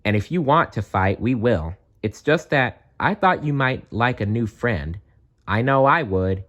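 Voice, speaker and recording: A man's voice: mid-range voice, lively intonation, a little slow, a bit bright, muffled recording, voice pushed up. A man voicing an earnest, brave and friendly schoolboy in a storybook, exclaiming with feeling. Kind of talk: storybook read-aloud.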